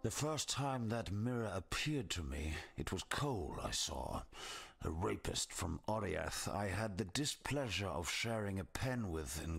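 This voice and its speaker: A haunted, gravelly, deep-voiced exile. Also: male voice, fairly bright tone, full character voice. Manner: slow, morbid, brooding monologue. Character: haunted, tormented male exile, bleak and brooding. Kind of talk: scripted video game character dialogue.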